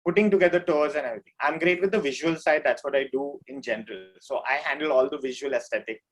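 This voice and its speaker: A man from India speaks as a musician in an interview. His voice sits a little above average in pitch. He explains things casually and informally. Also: fast talker, low-quality recording. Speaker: band drummer, interview guest.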